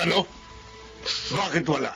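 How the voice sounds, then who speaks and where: An outraged, demanding father of middle age in a radio drama. His voice is fairly high and gravelly, over clear music. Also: normal speed, bright, forceful tone. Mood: vengeful. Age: middle-aged. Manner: demanding, indignant. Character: outraged, stern, protective middle-aged father.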